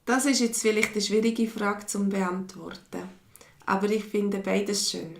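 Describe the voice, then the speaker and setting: A woman's voice: medium pitch, fairly even intonation, gravelly. A Swiss woman with a mixed Swiss German accent, giving a patient online language lesson and answering viewers' questions. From Switzerland. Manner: slow, patient, reads viewers' comments aloud. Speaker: language teacher. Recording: echoey room.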